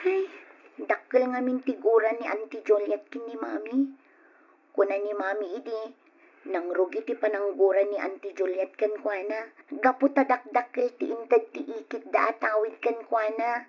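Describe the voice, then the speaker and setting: A woman's voice: mid-range voice, steady tone, moderate pace, a little mellow, slightly rough, close to an everyday voice. A woman playing a young, mistreated orphaned niece, plaintive and pleading, in old Ilocano radio drama style. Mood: sad, grateful. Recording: dull lo-fi old radio recording.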